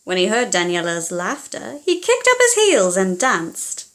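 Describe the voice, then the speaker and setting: Female children's storyteller, unhurried and expressive, dramatic delivery with hushed moments. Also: mid-range voice. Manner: animated, dramatic, with hushed passages.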